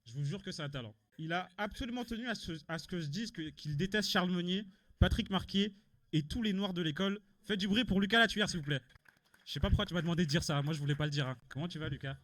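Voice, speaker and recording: A man's voice: high-pitched, fast talker, fairly bright tone, no room sound. A young man hosting a live student show, presenting casually with teasing, slangy banter. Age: young adult.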